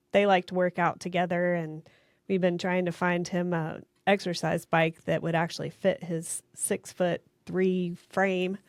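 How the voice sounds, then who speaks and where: A woman's voice: on the low side, no echo, studio quality. A middle-aged woman chatting casually as a podcast co-host. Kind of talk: casual chat.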